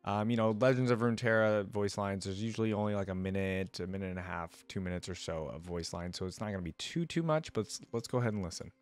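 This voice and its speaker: A man's voice: on the low side, quick pace, few pauses, clear, resonant tone. A man hosting a reaction video, reading lore aloud and thinking through it in casual commentary with rhetorical check-ins. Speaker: reaction channel host.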